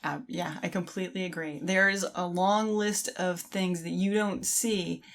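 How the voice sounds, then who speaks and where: A woman's voice: fairly low pitch, steady tone, normal speed. A middle-aged woman co-hosting a podcast in casual conversation, speaking in an agreeable, encouraging manner. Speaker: podcast co-host.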